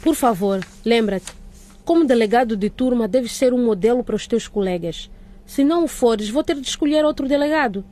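A woman playing a stern but concerned school director in a radio drama, with a measured, admonishing manner. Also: a little high, animated, dark tone, natural voice. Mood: firm.

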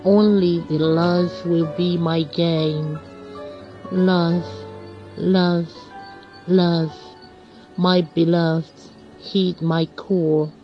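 A woman reading her own poem in a measured, deliberate recital style. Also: fairly low pitch, level tone. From Nigeria. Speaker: poet, radio listener reading own poem. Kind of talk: slow poetry recital. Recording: dull lo-fi recording with music.

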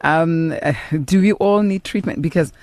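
A woman's voice: on the low side, normal speed, darkish tone, no echo. South African woman hosting, dry sound. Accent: South African English. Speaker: host. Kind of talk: interview hosting.

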